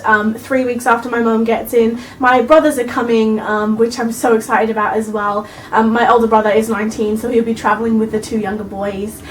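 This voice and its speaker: Young female vlogger, Welsh with Australian traces, higher voice, a little off-mic, rambling with lots of ums. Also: far from the mic, slight background noise, poor audio. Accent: Australian-tinged Welsh. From Wales. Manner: chatty, rambling, with frequent "um"s. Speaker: YouTube vlogger. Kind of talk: casual chat to camera.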